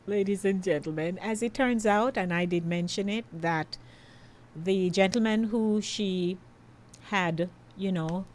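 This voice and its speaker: A middle-aged woman hosting a call-in radio show, chatty and quick to laugh. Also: lowish voice, darkish tone. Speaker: radio host.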